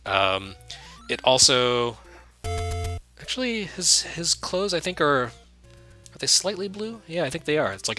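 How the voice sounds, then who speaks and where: Quiet guy streaming an old game, lowish voice but really bright and sharp, keeps trailing off, faint game music behind. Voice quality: soft-spoken. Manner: rambling, self-deprecating, many fillers and restarts. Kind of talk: casual live-stream commentary. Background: game music under the voice.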